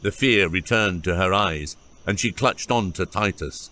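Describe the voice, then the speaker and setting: A man's voice: deep voice, measured pace, pushed and bright. A man reading scripted narration for an epic fantasy audiobook.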